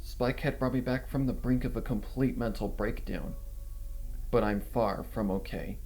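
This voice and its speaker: A man's voice: medium pitch, normal speed, a little mellow, faint music behind. A man reading scripted first-person fan fiction as a story narrator. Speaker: story reader.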